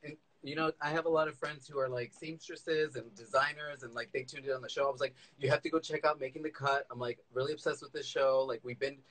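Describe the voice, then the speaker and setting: A man's voice: medium pitch, fairly even intonation, fast talker, hardly any pauses, fairly bright tone, poor audio. A man hosting a casual chat interview in a gushing, informal way. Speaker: interviewer, drag queen host. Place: indoors, small room. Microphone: phone at arm's length, video call.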